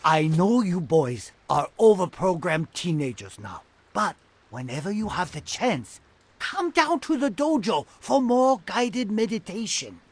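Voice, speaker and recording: A man playing an aging mutant rat father and martial arts teacher in an animated game, with a raspy, theatrical, instructive delivery. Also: high-pitched, a little slow, no room sound, heavily acted. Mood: fatherly. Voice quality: raspy, gravelly.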